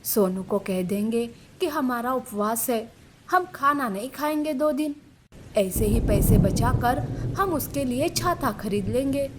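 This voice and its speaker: Woman playing a caring mother, slow and high. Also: background noise, natural voice. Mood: concerned. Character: caring, self-sacrificing poor mother of a schoolboy. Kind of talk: Hindi moral-story cartoon dubbing.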